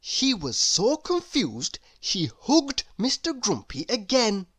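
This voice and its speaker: Slow, animated woman reading a storybook. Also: a little high, slightly rough, no echo, clean studio sound. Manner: measured, emphatic storytelling. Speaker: storybook reader. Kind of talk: expressive scripted children's story narration.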